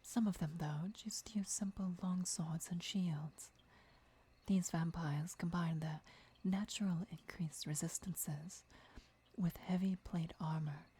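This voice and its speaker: A woman playing a devoted, gentle vampire mentor and lover in a breathy, whispered ASMR roleplay, instructing in a soothing way. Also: lowish voice, heavily acted.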